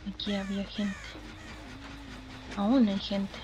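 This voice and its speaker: Slow-paced woman murmuring over a game. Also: medium pitch, flat delivery. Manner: hushed, murmuring to herself, with English interjections. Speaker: gaming channel host. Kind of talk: casual horror game commentary. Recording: clearly noisy, game sounds behind. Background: game ambience.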